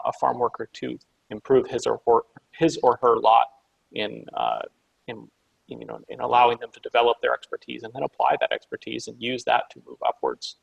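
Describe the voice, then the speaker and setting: Gravelly man, halting with fillers. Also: medium pitch, highs cut off. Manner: halting, with many fillers and restarts. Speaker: panelist. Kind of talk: video call panel discussion. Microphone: video call.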